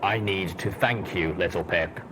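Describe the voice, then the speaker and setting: A man acts out a grave male ghoul pony soldier from a storybook. The voice is very low, with a slow, solemn and blunt delivery. There is clear noise in the recording. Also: natural voice.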